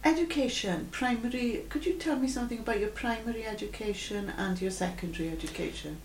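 A woman conducting an oral history interview, gently prompting with questions. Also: on the high side, a little fast. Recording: echoey room with faint hum.

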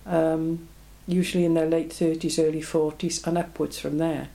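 Woman, 70s, Belgian-born, low mellow voice, reflective oral history interviewee. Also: level tone, normal speed, low-quality recording. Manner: reflective, plain-spoken, frequent ums.

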